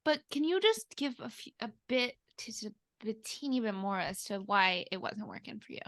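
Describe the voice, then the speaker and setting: A woman's voice: a little high, sing-song, moderate pace, fairly bright tone, dry recording, studio quality. A woman co-hosting a podcast in an informal, conversational way. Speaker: podcast co-host. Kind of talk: casual chat.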